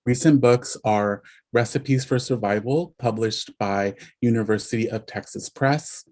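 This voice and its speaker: A man's voice: medium pitch, measured pace, a little mellow. A man introducing the guest at an online lecture, reading through a list of exhibitions and awards formally, with an occasional filler. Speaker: introducer of the speaker.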